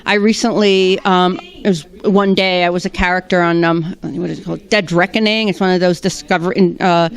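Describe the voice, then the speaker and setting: A woman actress answering red carpet questions, hesitant, with many pauses and restarts. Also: on the low side, steady tone, clean tone.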